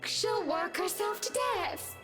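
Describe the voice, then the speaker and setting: High-voiced, sugary, patronizing lady. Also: slow, over music. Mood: cruel, mocking. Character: genteel, condescending well-to-do employer woman, sweetly menacing. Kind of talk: visual novel voice acting.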